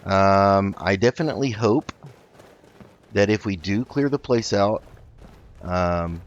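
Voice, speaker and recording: A man doing casual game commentary, thinking aloud in an unhurried, musing way as he roleplays. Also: lowish voice, lo-fi sound. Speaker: gaming host.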